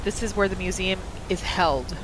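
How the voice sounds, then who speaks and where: A woman hosting a gaming video, giving expressive roleplay commentary as she plays. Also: fairly low pitch, normal speed. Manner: animated, expressive reactions. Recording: voice over noisy game ambience. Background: video game ambience.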